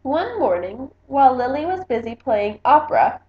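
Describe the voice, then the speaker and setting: Woman, expressive storybook read-aloud, high voice, very lively intonation, echoey room, slightly muffled. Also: normal speed. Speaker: book reader.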